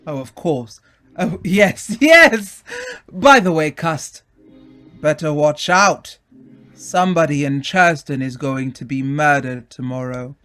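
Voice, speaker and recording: An actor playing a condescending, chummy upper-class gentleman in an old-time radio drama, bluff and exclamatory. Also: a little high, lively intonation, takes it slowly, clear, resonant tone, faint music behind, full character voice. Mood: jovial, teasing.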